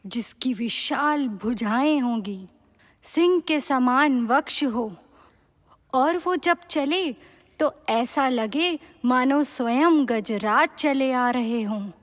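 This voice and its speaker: Dreamy high-voiced young handmaiden. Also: female voice, slow pace. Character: romantic young handmaiden friend of a princess. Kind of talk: Hindi mythological audio drama acting. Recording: long hall reverb.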